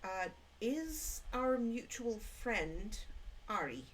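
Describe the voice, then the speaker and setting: A woman streamer chatting in character during a roleplay game, thinking aloud with plenty of ums and pauses. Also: on the high side, big pitch swings, fairly bright tone, a little hiss or hum. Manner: hesitant, thinking aloud with many fillers. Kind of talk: in-character roleplay chat while gaming.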